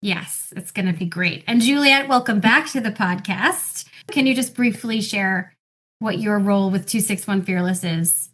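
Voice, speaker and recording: A woman's voice: mid-range voice, moderate pace, fairly bright tone. A woman hosting a podcast in an upbeat, encouraging way as she introduces and questions her guests. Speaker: podcast host.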